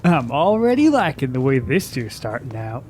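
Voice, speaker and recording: A man's voice: medium pitch, very animated, moderate pace, darkish tone, slight background noise, natural voice. A man acting an eager, friendly teenage schoolboy in an audio drama.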